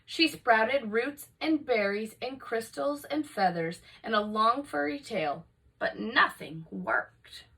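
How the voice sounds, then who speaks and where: A woman narrating a children's picture book aloud in an expressive, scripted read-aloud style, picked up from slightly off the microphone. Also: a little high, takes it slowly, vocal fry, far from the mic, low-quality recording. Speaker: storybook reader for remote learning.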